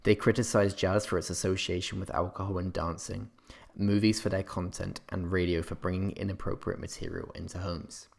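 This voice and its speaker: A man narrating a scripted history revision lesson as a tutor, explaining in a somewhat halting way. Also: low voice, level tone, gravelly.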